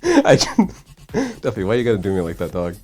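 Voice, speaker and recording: A man's voice: on the low side, wildly swooping pitch, moderate pace, darkish tone, breathy edge, soft background music. A man co-hosting a live-streamed talk show, chatting casually, swearing a lot and filling his talk with "like". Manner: swears often, heavy use of "like". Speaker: podcast co-host. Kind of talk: casual chat.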